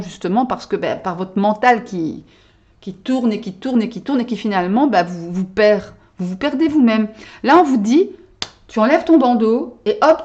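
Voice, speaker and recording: A woman's voice: medium pitch, a little mellow, dull audio. A woman giving a casual tarot reading to her viewers, chatty and full of little checks like "hein" and "d'accord?". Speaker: tarot card reader. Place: Belgium.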